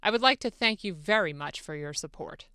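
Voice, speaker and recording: A woman's voice: sits low, expressive, brisk, bright, forceful tone, smooth, no echo, clean studio sound, exaggerated voice. A woman voicing an eager, deferential foreign president in a formal, polite dramatic reading. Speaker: radio show producer. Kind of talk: dramatic reading of a phone-call transcript. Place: radio studio. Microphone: close studio microphone.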